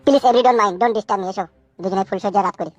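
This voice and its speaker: A man's voice: high voice, a little fast, no echo, dull audio, faint music behind. A man voicing a coaxing, coarse new husband in a comic cartoon, theatrical and colloquial.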